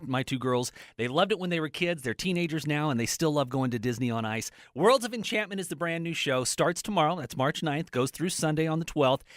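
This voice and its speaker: A man's voice: on the high side, a bit bright, no echo, studio quality. A middle-aged man hosting a radio interview, chatty and jokey, poking fun at himself. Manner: chatty, jokey, self-deprecating. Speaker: radio host.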